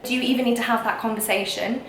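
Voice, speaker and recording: A woman's voice: fairly high pitch, fairly even intonation, fast talker, a little hiss or hum. Young woman, whiny voice, frank and emphatic vlog rant, echoey room. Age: young adult. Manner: emphatic and frank, with frequent fillers. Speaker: vlogger. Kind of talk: casual ranting to camera.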